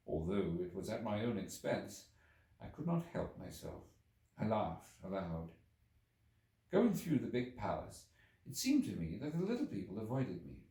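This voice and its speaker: A man with a low voice gives a dramatic audiobook reading of classic literary prose. His delivery is theatrical and highly varied in pitch. The recording has a clear room echo. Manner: theatrical, expressive storytelling. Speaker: audiobook reader. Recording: roomy echo, distant microphone.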